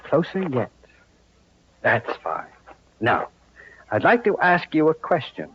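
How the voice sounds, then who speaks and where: An actor playing an exasperated, gullible middle-aged homeowner in an old-time radio comedy, indignant and sputtering. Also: male voice, on the high side, big pitch swings, takes it slowly, breathy edge, muffled recording, heavily acted. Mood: menacing.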